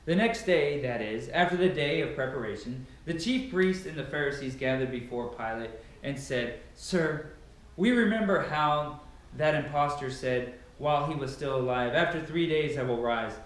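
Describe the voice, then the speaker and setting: A man's voice: fairly high pitch, normal speed. A young adult man giving a dramatic Bible reading in a declamatory, emphatic way, recorded by a camera a few meters away in a large living room. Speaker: reader of scripture. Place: large, sparsely furnished living room indoors. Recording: very echoey room, camera at a distance.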